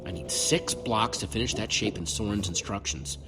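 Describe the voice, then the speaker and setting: A man playing an earnest, plucky young adventure hero in a video game, lightly wry, with very loud music behind him. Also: on the low side, normal speed, natural voice, rough recording. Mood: focused. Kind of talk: natural video game voice acting.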